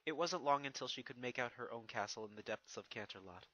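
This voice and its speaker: A man's voice: mid-range voice, fast, bright, forceful tone, lo-fi sound. A man reading a scripted story as its narrator. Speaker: fan fiction narrator.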